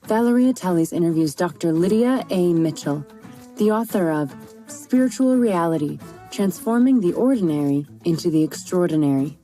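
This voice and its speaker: Female podcast host, low mellow voice, even scripted read, faint music underneath. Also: moderate pace. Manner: even, read-aloud delivery. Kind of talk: scripted introduction.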